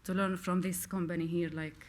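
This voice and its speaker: A woman's voice: fairly low pitch, level tone, normal speed. A woman with a Syrian Arabic accent, answering reflectively at a public Q&A, hesitant and searching for words. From Golan Heights. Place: on stage at a cinema Q&A. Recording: clear background room noise.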